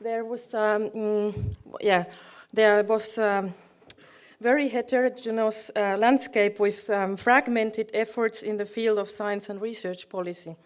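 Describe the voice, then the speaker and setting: A middle-aged woman presents at a conference as a project manager. Her voice sits at an average pitch, and her delivery is halting, with frequent searching for the right word. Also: steady tone. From Finland.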